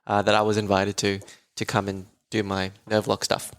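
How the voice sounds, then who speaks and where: A man's voice: lowish voice, completely flat delivery, normal speed. A man, a voice therapist talking as a guest in a casual podcast conversation, enthusiastic and chatty with frequent laughs.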